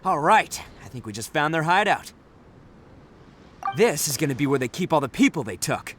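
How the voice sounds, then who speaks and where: A brash, cocky oni brawler. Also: male voice, on the high side, very animated, normal speed, very noisy. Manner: boisterous, laughs a lot, cocky bravado. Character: brash, boastful young male oni gang boss with a big heart. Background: music.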